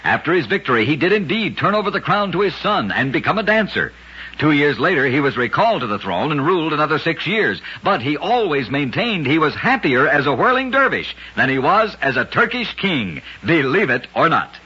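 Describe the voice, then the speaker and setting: A man's voice: a little high, sing-song, brisk, pushed and bright, breathy edge, lo-fi sound. A man hosting an old-time radio show, narrating scripted oddities in a dramatic, emphatic way on a vintage recording. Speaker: radio storyteller and host.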